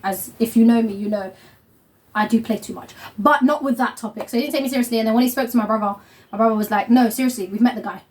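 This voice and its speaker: A young woman of Somali family hosts a relaxed couple vlog at home. She talks in a chatty, meandering way, full of fillers and laughter. Her voice sits slightly high, and the camera mic picks it up. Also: fast. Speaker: vlog host. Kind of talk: casual chat.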